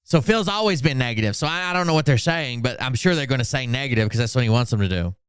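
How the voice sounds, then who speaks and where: A man's voice: medium pitch, expressive, fast talker, a bit bright, clear, resonant tone, dry recording. A man hosting a livestream, giving mocking, sarcastic commentary on social media posts in casual, sweary chat. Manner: sarcastic, sweary, mock-dramatic when reading posts out. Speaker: livestream host.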